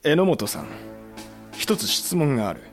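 A man acting as a fierce, blunt samurai general in a period audio drama, speaking roughly and commandingly. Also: fairly high pitch, wildly swooping pitch, a little slow, soft background music. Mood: serious, probing.

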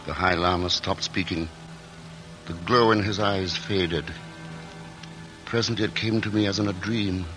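An actor playing a weary, earnest male explorer who narrates his own story in measured first person, recorded in a 1940s radio drama. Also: sits low, fairly even intonation, bright, forceful tone, poor audio. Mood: reflective. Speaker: narrator and lead character. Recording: old radio broadcast with music underscore.

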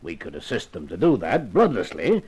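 A man's voice: low-pitched, wildly swooping pitch, moderate pace, a little mellow, breathy edge, exaggerated voice. A man playing a courteous, authoritative gray-haired government official in a 1950s radio crime drama, speaking formally and explaining carefully. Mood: earnest, authoritative.

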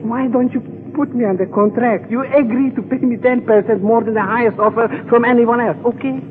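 High-pitched wheedling spy. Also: male voice, fairly even intonation, fairly quick. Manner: wheedling, haggling. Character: wheedling, greedy freelance spy and double-crosser. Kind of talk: 1940s radio drama acting. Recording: noisy old radio recording, phone-like.

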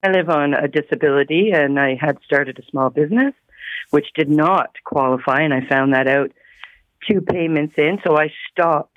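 A woman's voice: deep voice, moderate pace, dry recording. A woman calling in to a radio show, earnest and aggrieved as she explains her case. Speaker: caller. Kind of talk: phone-in complaint. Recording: phone line.

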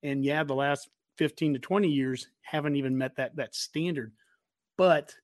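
A man's voice: a little high, normal speed, no room sound, studio quality. An American man in his forties chatting casually as a sports show host, talkative and rambling. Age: 40s. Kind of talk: casual interview chat.

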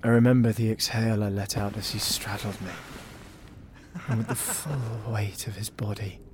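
A man plays a proud, disgraced nobleman in a fantasy audio drama. His voice sits low and his delivery is theatrical and intense. There is some noise behind the voice. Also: steady tone, moderate pace, close to an everyday voice. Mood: brooding, intimate. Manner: theatrical, intense, literary phrasing. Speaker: lead actor and narrator. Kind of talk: scripted audio drama narration.

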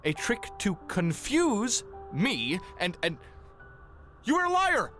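Blustering, swooping, stammering reverend. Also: male voice, on the high side, sing-song, a little slow, faint music behind. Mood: indignant. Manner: stammering, blustering, easily flustered. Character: flustered, indignant, pious male reverend. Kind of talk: audio drama acting.

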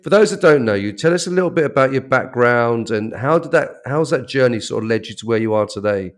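A man's voice: medium pitch, animated, quick pace. A man hosting a podcast, introducing his guest with enthusiasm and many repeated words and fillers. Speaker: podcast host.

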